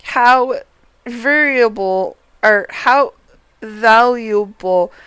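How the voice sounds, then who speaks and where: Very clear, unhurried woman reading aloud. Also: fairly high pitch, fairly bright tone, no echo. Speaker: game streamer. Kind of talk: reading video game dialogue aloud on a livestream.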